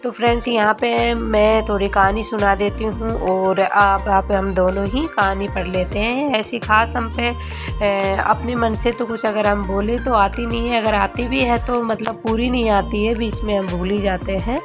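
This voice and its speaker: Hoarse woman chatting in a home vlog. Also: mid-range voice, fairly even intonation, over music. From Rajasthan, India. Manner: chatty, halting, many filler sounds. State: hoarse from a cold. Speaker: family vlogger. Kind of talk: casual vlog narration.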